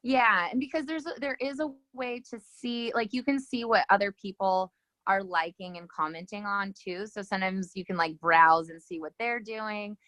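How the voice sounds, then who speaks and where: A woman's voice: mid-range voice, fairly even intonation, moderate pace, fairly bright tone, no echo. Rambling woman giving social media tips. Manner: rambling, lots of ums and restarts. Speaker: guest social media coach. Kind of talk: casual advice chat.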